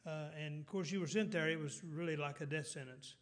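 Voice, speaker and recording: A middle-aged man with a Texas accent preaches a sermon in a rambling way, full of restarts and fillers, and clears his throat now and then. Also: fairly high pitch, a little fast, a bit bright. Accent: Texan.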